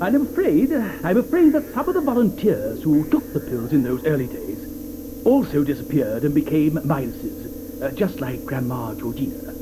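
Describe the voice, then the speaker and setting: An actor playing an eccentric, fussy male chocolate maker in an audio drama, talking theatrically with many hesitant pauses. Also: fairly high pitch, very noisy. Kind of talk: 1980s audio drama acting. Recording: studio audio drama with music behind.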